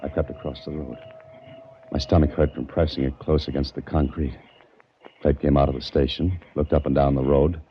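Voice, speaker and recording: A muffled, hissy 1940s radio recording of a fugitive American man with a very low voice. He is terse and desperate, speaking in clipped hard-boiled phrases. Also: flat delivery, a little fast. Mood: tense, haunted. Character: hunted innocent man on the run, terse and desperate. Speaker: lead actor and first-person narrator. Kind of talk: 1940s radio mystery acting.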